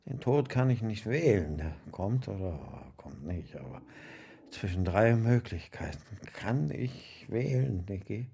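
An actor playing a world-weary, stubborn older uncle in a radio drama, husky and melodramatic in a brooding way. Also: male voice, fairly low pitch, expressive, a little slow, warm tone, slight background noise. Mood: solemn, resolute. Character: world-weary ailing uncle, stubborn, quixotic civil servant.

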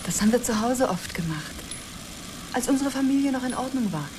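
Actress as excitable woman space traveller, coaxing, very animated intonation, radio play, engine noise behind. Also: a little high, fairly quick, lightly acted. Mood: coaxing, chatty. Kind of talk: radio drama acting. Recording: clearly noisy engine-like ambience behind.

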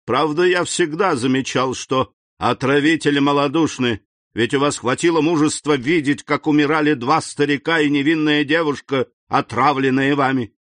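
A man reading the part of a stern, cold middle-aged royal prosecutor who speaks in an icy, deliberate, accusing manner. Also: on the low side, no echo. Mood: fierce accusing.

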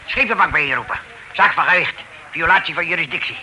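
An obstinate island bailiff in an old radio play. His highish voice is piercingly sharp, and he barks in clipped fragments broken by hesitant grunts. The recording has a faint noise. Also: fast talker, low-quality recording. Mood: stubborn, indignant. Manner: clipped telegram-style phrases, hesitant grunts. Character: stubborn, curt male island bailiff guarding local privileges.

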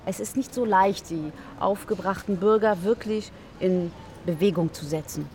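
An actress playing an earnest, somewhat hesitant citizens' activist in a naturalistic radio drama interview. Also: female voice, medium pitch, moderate pace, a little mellow, natural voice. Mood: earnest, hopeful. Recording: slightly distant, faint outdoor noise.

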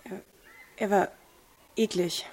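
A woman's voice: medium pitch, steady tone, takes it slowly, a little mellow, breathy edge. A woman playing a sullen, blunt schoolgirl in a radio crime drama, answering curtly. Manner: curt, blunt.

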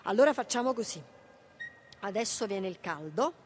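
An actress plays a working-class Neapolitan woman in a radio drama. She is protective and tender but tired, coaxing in a maternal, repetitive way at a middling pitch. There is light noise and ambience behind her. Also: lively intonation, moderate pace, natural voice. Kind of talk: naturalistic radio drama acting in dialect.

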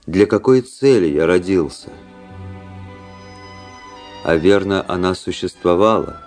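An actor playing a cold, disillusioned young Russian officer in a radio drama, with a measured, introspective and ironic delivery. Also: male voice, deep voice, lively intonation, darkish tone. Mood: brooding, bitter. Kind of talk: literary radio drama monologue and dialogue. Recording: radio play with music behind. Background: music.

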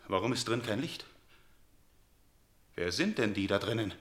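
A man's voice: on the low side, lively intonation, normal speed, a bit bright. An actor playing an imperious, suspicious usurper king in classical radio tragedy, demanding and theatrical, in an echoing room.

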